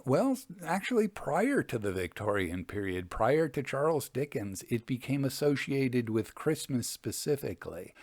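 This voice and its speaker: A man hosting and reading Victorian ghost stories in a measured, expressive storytelling manner. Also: medium pitch. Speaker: podcast host and reader of ghost stories.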